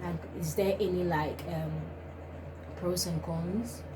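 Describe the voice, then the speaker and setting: A woman's voice: on the low side, fairly even intonation, slow. A young woman from Finland, the host of a vlog, chatting casually and animatedly with many fillers and laughs. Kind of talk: casual chat. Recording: noisy home recording.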